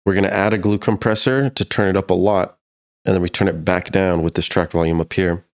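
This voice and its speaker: A man giving a casual, slangy music production tutorial in a laid-back but enthusiastic way. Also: sits low.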